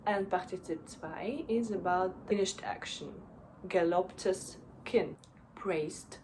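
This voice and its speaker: A young woman walks through grammar points in a relaxed, slow manner, often pausing on fillers and checking in with "right?". Her voice sits a little low, and faint background noise is heard under it. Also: poor audio. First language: Russian. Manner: unhurried, with fillers and frequent "right?". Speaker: German language teacher. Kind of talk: casual explainer lesson.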